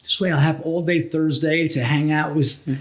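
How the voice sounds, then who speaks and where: Rambling New Jersey man, higher-pitched voice. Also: steady tone, moderate pace. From New Jersey, USA. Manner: rambling, backtracking. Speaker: podcast co-host and storyteller. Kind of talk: casual anecdotal chat.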